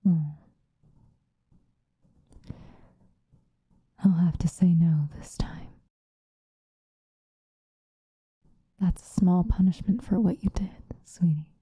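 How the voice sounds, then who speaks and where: A woman playing an obsessive, possessive lovesick woman in an intimate, whispered ASMR roleplay, with a breathy voice. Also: lowish voice, warm tone, dry recording, full character voice. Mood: tender, darkly loving. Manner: slow, intimate, pleading.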